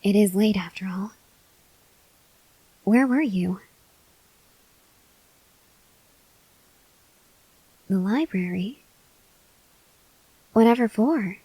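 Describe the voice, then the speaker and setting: A woman playing an earnest, desperate female vampire scientist in an ASMR roleplay, speaking haltingly in a breathy voice that drifts into whispers. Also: medium pitch, dark tone, dry recording, low-quality recording. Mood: gently curious. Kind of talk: ASMR roleplay, slow dramatic monologue to the listener.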